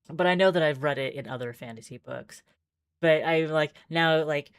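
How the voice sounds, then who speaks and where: A woman's voice: deep voice, no room sound, clean studio sound. A woman streaming a visual novel, moving between casual, rambling commentary and dramatic read-aloud narration.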